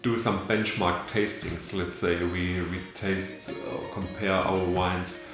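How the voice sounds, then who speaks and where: Low-voiced man, halting, echoey room. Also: flat delivery. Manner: halting, many fillers and restarts. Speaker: winery general manager. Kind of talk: interview answers in English. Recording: very echoey with background music. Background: music.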